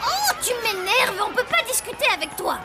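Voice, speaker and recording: A woman's voice: very high-pitched, very animated, normal speed, over a noisy crowd, very noisy. A woman voicing a sensible, scolding girl zombie twin in a French cartoon dub. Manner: scolding, prim. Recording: studio dub over loud music.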